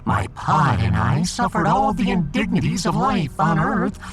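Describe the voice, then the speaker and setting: A man voicing a pompous, embittered alien fish emperor in a sci-fi audiodrama, with grandiose, theatrical, drawn-out delivery. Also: a little high, very animated, low-quality recording. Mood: bitterly aggrieved.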